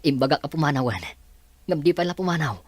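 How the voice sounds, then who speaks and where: A man's voice: on the high side, quick pace, dry recording, natural voice. An actor playing a troubled man in a hospital who speaks tensely and with exasperation in a radio drama.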